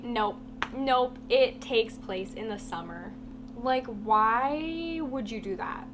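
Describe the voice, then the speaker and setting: A woman with a congested, half-lost voice from a cold, giving a chatty, blunt book review vlog with lots of "like" fillers. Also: a little high. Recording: steady humming background noise.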